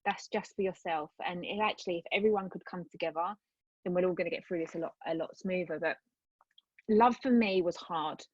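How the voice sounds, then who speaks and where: A woman's voice: lowish voice, fairly quick, dry recording, lo-fi sound. A woman, a coach, talking earnestly and rambling a little as an interview guest over a video call. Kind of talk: casual interview chat.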